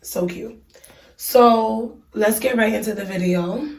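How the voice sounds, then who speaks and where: A woman's voice: fairly high pitch, takes it slowly, creaky, a little hiss or hum. Woman vlogger with a cold, stuffy and chatty, in a small bathroom, a bit off-mic with room echo. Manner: chatty, many ums and likes. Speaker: beauty vlogger reviewing hair. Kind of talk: casual unboxing review. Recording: echoey room, mic at a distance.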